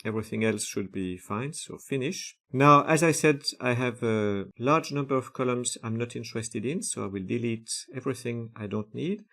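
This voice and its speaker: A man walks through a software task one click at a time, naming each step before he does it. His voice has a gravelly, creaky edge and sits at an everyday middle pitch. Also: normal speed, few pauses. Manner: methodical, narrating each step with frequent "uh" fillers. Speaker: software tutorial presenter. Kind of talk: screen-recorded software walkthrough.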